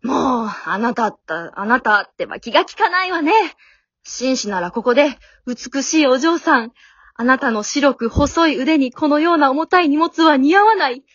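Radio drama heroine, female amateur: haughty, pushy young girl, high-pitched and very animated, dry slightly dull recording. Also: normal speed, dry recording. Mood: bossy, coy. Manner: haughty, coy, pushy ladylike speech.

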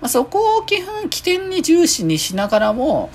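A woman's voice: extremely high pitch, wildly swooping pitch, moderate pace, darkish tone. A woman hosting a casual solo podcast, rambling along and often correcting herself as she goes. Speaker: podcast host. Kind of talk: casual solo free talk.

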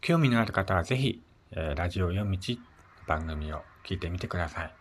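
A man hosting a casual solo radio chat, speaking politely and a little hesitantly, with soft fillers between his phrases. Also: deep voice, animated, fairly quick. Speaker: solo podcast host.

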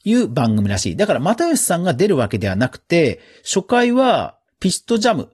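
A man's voice: fairly high pitch, huge pitch swings, fairly quick, darkish tone, slightly rough. A man hosting a podcast, giving chatty, casual commentary on the news in a conversational way. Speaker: podcast host.

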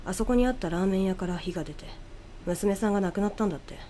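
A matter-of-fact husband acted by a woman narrator shares rumours in plain, casual talk. The voice has a dark, mellow tone at medium pitch, suited to a radio ghost story. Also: rapid-fire, slight background noise, lightly acted. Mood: matter-of-fact, gossipy.

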